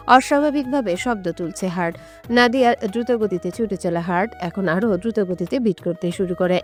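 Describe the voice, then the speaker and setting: A woman reading a scripted romantic story as an audio story narrator. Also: mid-range voice. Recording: music under the voice. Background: background music.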